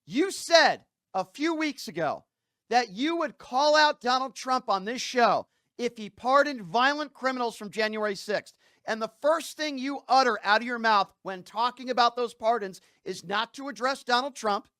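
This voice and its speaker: A man with a notably high-pitched voice hosts a heated political call-in show. He is combative and indignant, pressing his point with emphatic rhetorical questions. The sound is dry, with hardly any room to it. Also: animated, normal speed, bright, forceful tone, dry recording.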